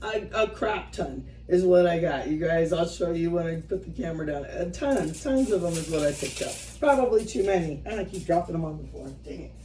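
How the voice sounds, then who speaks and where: A woman's voice: fairly low pitch, expressive, brisk, few pauses, mellow, recorded from a distance, a little hiss or hum. A woman in her fifties chatting casually to her viewers as she works, rambling and self-deprecating, often trailing off mid-thought. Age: 50s. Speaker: vlogger. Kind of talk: casual chat while working by hand.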